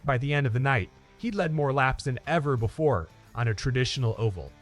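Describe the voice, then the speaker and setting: A man's voice: medium pitch, animated, fairly quick. A man narrating scripted motorsport news in an upbeat, emphatic presenter style. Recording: music under the voice. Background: background music.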